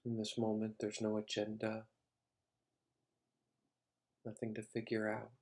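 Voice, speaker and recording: Man, meditation teacher, low pitch, flat even delivery, calm, measured short phrases, long pauses. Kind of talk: guided meditation.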